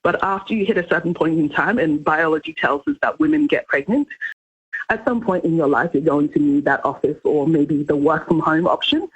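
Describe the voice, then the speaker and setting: A woman from Ghana with a husky voice speaks as an interview guest on the radio. She explains things earnestly in a conversational way, and the audio is slightly dull and muffled. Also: low voice, lively intonation, fairly quick.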